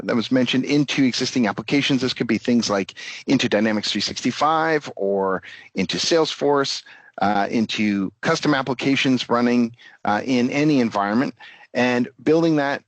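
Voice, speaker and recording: Man giving a halting business presentation. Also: mid-range voice, no echo, low-quality recording. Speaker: company executive presenting. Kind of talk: business presentation with product demo pitch.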